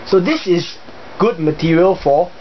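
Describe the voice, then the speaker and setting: A man's voice: sits high, a little slow. A young man from Singapore with a Singaporean accent, casually explaining his hobby gear in a chatty, hesitant way with many fillers. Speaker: hobbyist video host. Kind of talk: casual show-and-tell explanation. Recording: dull lo-fi home recording with faint hiss.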